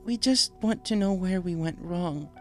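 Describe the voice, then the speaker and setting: Man putting on a high, worried pegasus mother. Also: unhurried, faint music behind. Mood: pleading. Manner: hesitant, pleading, tender. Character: worried, apologetic female pegasus mother, tender. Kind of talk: storybook character voice.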